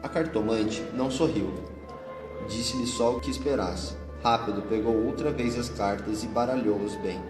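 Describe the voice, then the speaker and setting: A man's voice: mid-range voice, normal speed. A Brazilian man narrating a scripted radio drama. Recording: echoey hall, long reverb, music bed. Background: music.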